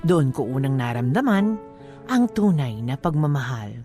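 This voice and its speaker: A woman playing a sentimental young woman who narrates her love story in measured, scripted Filipino-accented delivery. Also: a bass voice, very animated, loud music behind the voice. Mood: wistful, reflective. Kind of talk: scripted first-person narration.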